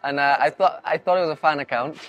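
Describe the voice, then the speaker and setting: A young man from Barbados, a racing driver, answering interview questions in a relaxed, modest way with many fillers and restarts. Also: on the high side, fast, highs cut off.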